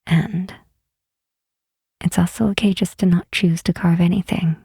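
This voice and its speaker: A woman playing a gentle, wistful demon queen in a soft ASMR roleplay, speaking slowly and tenderly in a breathy, hushed voice. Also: on the low side, steady tone, no room sound, studio quality, heavily acted. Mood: tender, reassuring. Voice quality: breathy, whispery. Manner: unhurried, hushed, tenderly reassuring.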